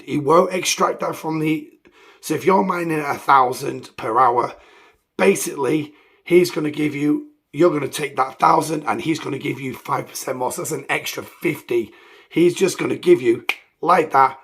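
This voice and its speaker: A man hosts a casual game review, his voice croaky from illness, rambling with ums and self-corrections. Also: on the high side. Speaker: gaming channel host.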